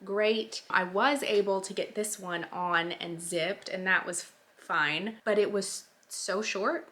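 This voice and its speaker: A woman's voice: medium pitch, steady tone, takes it slowly, a bit bright. A woman in her late twenties giving a casual clothing try-on review, chatty with lots of fillers, a bit stuffy from a sinus infection. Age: 20s. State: nasal, recovering from a sinus infection. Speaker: fashion vlogger.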